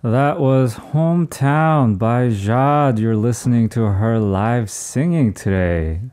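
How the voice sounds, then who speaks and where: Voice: medium pitch, slow, darkish tone. A host running a casual music interview, chatty and playful, often restarting sentences.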